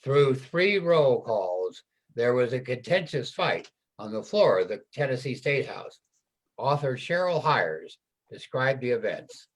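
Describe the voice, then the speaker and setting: A man's voice: medium pitch, sing-song, unhurried, rough recording. A man reading formal scripted narration in a reader's theater production.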